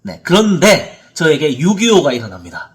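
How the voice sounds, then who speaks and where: A man in his thirties speaks as a motivational presenter at a seminar. His voice sits on the high side and moves in very lively swings of pitch. He tells his story earnestly, leaning hard on key words. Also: moderate pace. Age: 30s. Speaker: motivational speaker, author and coach.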